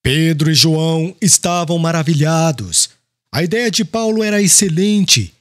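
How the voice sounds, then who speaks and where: Expressive, higher-pitched male narrator. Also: measured pace, no room sound. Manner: formal, expressive. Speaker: audiobook narrator. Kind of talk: scripted literary narration.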